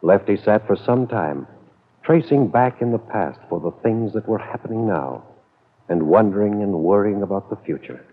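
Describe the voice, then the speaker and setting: Low-voiced man narrating a vintage radio serial. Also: animated, moderate pace, husky. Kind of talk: 1940s radio drama scripted narration. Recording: dull, narrow vintage radio recording.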